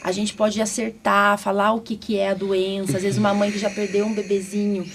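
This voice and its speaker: A woman's voice: medium pitch, level tone, a little fast, background noise. A woman with a Brazilian accent hosting a podcast, chatting informally and often adding little check-in tags. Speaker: podcast host, doctor. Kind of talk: casual conversational interviewing.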